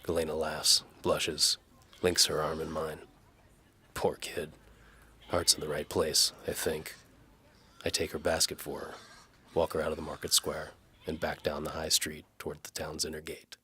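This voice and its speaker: A man's voice: very deep voice, monotone, moderate pace, bright, forceful tone, husky, heavily acted. A man playing a sardonic, world-weary bow for hire, narrating his own story in noir audio drama style. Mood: dry, wry.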